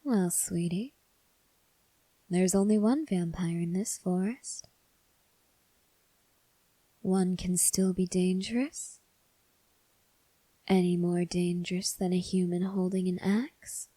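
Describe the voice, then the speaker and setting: Girl doing a vampire lady asmr, breathy and whispery, real slow, coaxing and kinda menacing, super dry close mic. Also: fairly low pitch, steady tone, no room sound, rough recording. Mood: coaxing, intimate. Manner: slow, hushed, intimate, coaxing, often dropping into whispers. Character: soft-spoken, teasing, menacing female vampire huntress with an axe. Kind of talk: whispered ASMR roleplay addressed to the listener.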